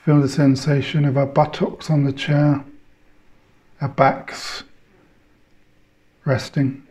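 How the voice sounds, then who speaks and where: Slow, mellow male meditation guide. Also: mid-range voice, flat delivery. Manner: measured short phrases with long pauses.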